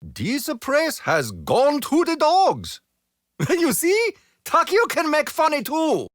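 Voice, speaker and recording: An actor playing a proud, honor-bound Japanese imperial soldier in a video game, speaking with a Japanese accent in stiff, formal phrases that keep collapsing into dry jokes. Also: male voice, extremely high pitch, wildly swooping pitch, takes it slowly, fairly bright tone, dry recording, heavily acted. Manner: formal, stiff phrasing with sudden comic deflation.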